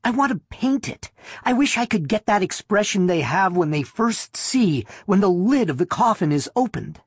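Man putting on a breathy, high, girlish young wife. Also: very animated, moderate pace, no echo, clean studio sound, full character voice. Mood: awed, dreamy. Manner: wide-eyed, dreamy, earnest. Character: Lotta, young wife with awed, breathless little-girl voice. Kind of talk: audiobook character voice.

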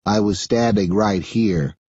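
A man's voice: lowish voice, a little slow, warm tone, husky, dry recording, muffled recording. A man voicing an earnest, matter-of-fact teenage boy who loves conspiracy theories, in an audiobook.

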